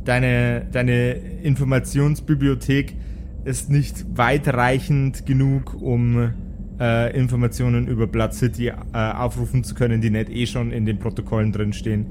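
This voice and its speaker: Male game master, mid-pitched, improvised narration with searching pauses, some noise and ambience behind him. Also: level tone. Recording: clear background noise and ambience.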